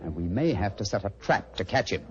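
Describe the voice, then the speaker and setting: An actor playing a brisk, commanding, self-assured English gentleman detective, clipped and theatrical, in 1940s radio mystery style. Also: lowish voice, expressive, natural voice. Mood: brisk, deducing. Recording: muffled, hissy old radio broadcast.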